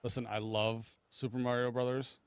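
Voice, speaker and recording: A man's voice: mid-range voice, flat delivery, normal speed, clean tone, no echo. A man chatting casually as co-host of a podcast, speaking close to the microphone.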